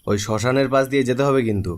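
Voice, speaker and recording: A man's voice: mid-range voice, very fast, slight background noise, natural voice. A man voicing a hesitant young man in a horror cartoon, speaking colloquially. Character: hesitant young man walking with his friend. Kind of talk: Bengali cartoon horror story voice acting.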